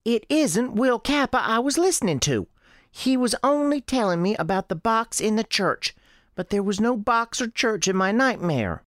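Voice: mid-range voice, lively intonation, moderate pace, fairly bright tone, no room sound, clean studio sound, voice pushed up, lightly acted. A performer voicing an earnest, frightened young Southern schoolgirl with rustic grammar and a chatty, confessional manner, in a radio story. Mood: defiant. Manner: rustic childish grammar, eager rambling confessions.

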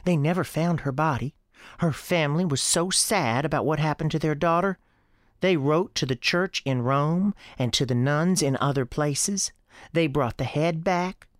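A woman voicing a young girl with a high girlish voice and a folksy, childlike storytelling manner in an audio drama. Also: moderate pace, no room sound, clean studio sound, close to an everyday voice. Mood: earnest. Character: young Southern girl Sarah, earnest, defiant, chatty. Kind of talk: acted audio drama dialogue.

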